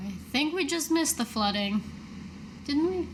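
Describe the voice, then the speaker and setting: A woman with a fairly high voice plays her character in a tabletop game. Her delivery is casual and conversational, over faint background noise. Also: expressive, a little slow. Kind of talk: casual in-character role-play.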